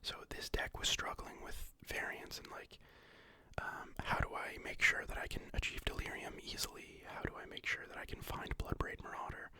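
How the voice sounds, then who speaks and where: A whispered ASMR walkthrough by a speaker who is getting over an illness and sniffles now and then. The talk rambles and halts, with lots of fillers and restarts. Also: normal speed. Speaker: card game deck explainer.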